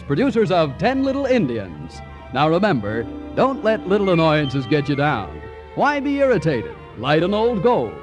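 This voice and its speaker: Animated high-voiced male radio announcer over brass. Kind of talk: 1940s radio announcing and advertising. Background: brass music.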